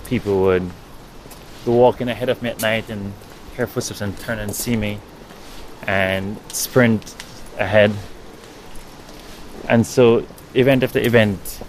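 A man with a Jamaican accent speaks in a somewhat low voice, telling his own stories in a measured, reflective way. He pauses often mid-thought, and faint street ambience sits behind him. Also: steady tone, a little hiss or hum, low-quality recording. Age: adult. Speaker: writer and guest. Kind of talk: reflective storytelling.

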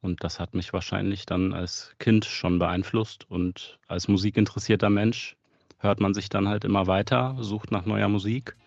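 A man's voice: lowish voice, steady tone, moderate pace, vocal fry, a little mellow, no echo, dull audio. A man talking casually and reflectively about his music in an interview. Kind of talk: relaxed interview talk.

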